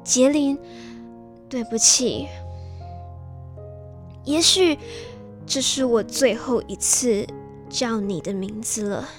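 A woman's voice: fairly high pitch, slow pace, long pauses. A woman playing a devoted, heartbroken young woman in a radio drama, speaking tenderly and sorrowfully. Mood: longing, grieving. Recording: music behind the voice.

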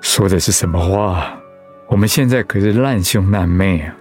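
An actor playing a seriously ill but patient, encouraging husband in a Mandarin radio drama. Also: male voice, low voice, normal speed, a little mellow, natural voice. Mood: earnest, reassuring. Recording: music under the voice.

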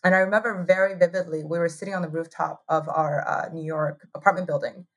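Chatty woman from Taiwan, low husky voice. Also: steady tone, quick pace. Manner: chatty, laughs often, many "like" fillers. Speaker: guest, hot sauce co-founder. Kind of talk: casual interview storytelling.

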